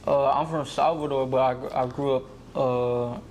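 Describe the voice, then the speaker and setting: A man's voice: medium pitch, steady tone, moderate pace, a little mellow. Guy answering questions all hesitant, lots of uh and like, faint noise behind. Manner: hesitant, mumbling. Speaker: interviewee. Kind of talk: casual interview answers.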